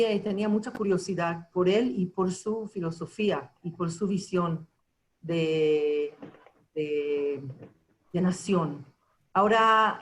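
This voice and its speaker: A woman from Argentina answers questions in a slow, halting way, her pitch sitting on the low side. She keeps pausing and restarting while she searches for the right words. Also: poor audio. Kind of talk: answering questions on a video call. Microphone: video call.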